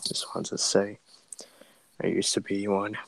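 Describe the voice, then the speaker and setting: A man from Algeria chatting casually on a group voice call, easygoing and joking, quick to laugh. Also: on the low side, level tone, creaky, low-quality recording. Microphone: computer mic on a voice call.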